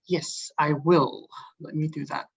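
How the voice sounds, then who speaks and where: A man in his early twenties from Hong Kong gives an informal, hesitant student presentation on an online call, with frequent filled pauses. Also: on the high side, steady tone, vocal fry, husky. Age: early 20s. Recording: dull lo-fi online call audio.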